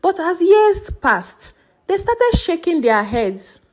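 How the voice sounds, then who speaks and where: High, animated woman storyteller. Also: takes it slowly. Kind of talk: scripted folktale narration.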